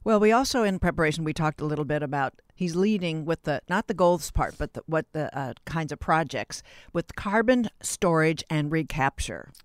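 Deliberate, wordy interviewer in a dry room. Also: medium pitch, animated, smooth, no room sound. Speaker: interviewer, show host. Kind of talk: conversational public affairs interview.

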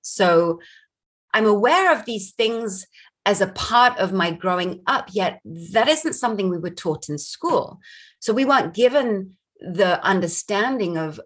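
A woman's voice: mid-range voice, husky. A woman from Barbados, a film director, speaking earnestly and reflectively as an interview guest over a video call. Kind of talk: interview conversation.

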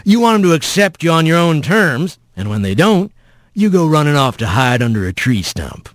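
A man voicing a huge, mocking but paternal spirit creature, with a soft rumbling voice and rustic folksy drawl. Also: sing-song, normal speed, dry recording, clean studio sound. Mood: folksy, needling.

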